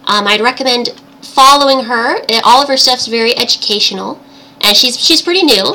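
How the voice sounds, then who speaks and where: A woman's voice: fairly high pitch, a little fast, bright, forceful tone, poor audio. A woman with a tiny, girlish, cartoon-princess voice hosts a casual livestream chat in a cheerful, giggly, chatty way. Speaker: livestream host. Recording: livestream audio with background noise.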